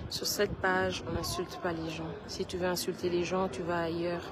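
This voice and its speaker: A woman's voice: lowish voice, level tone, normal speed, noisy background. A woman, sick with a cold, chatting straight to her followers on a livestream. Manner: chatty, talks straight to viewers. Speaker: page host addressing followers. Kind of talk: casual phone livestream chat.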